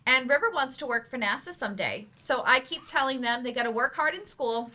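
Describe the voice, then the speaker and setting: A stage actress as an eager adult learner and mother. Her voice is high, bright and lively, with an earnest, open manner suited to a live play reading. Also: a little fast. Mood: candid, upbeat.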